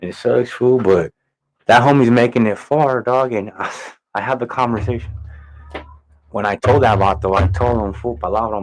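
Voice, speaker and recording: Low-pitched man talking slangy street commentary. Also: darkish tone, rough recording. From Inland Empire, California. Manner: slangy, emphatic street talk, swearing. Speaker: reaction channel host. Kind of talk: casual reaction commentary.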